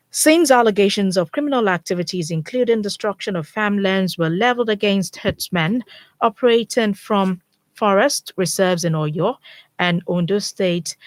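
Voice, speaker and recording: A woman presenting radio news from a studio. Her voice sits at a middle pitch and is read in a measured, formal manner. The sound is close and dry, with no room in it. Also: dry recording. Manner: steady formal read with occasional fillers. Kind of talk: radio newsreading and studio interview.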